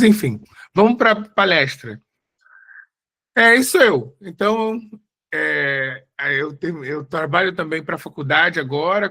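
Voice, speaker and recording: Bright-voiced Brazilian-born man, chatty. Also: a little high. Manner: chatty, self-correcting, many fillers. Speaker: researcher and guest speaker. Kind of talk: casual live talk before a slide presentation. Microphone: video call.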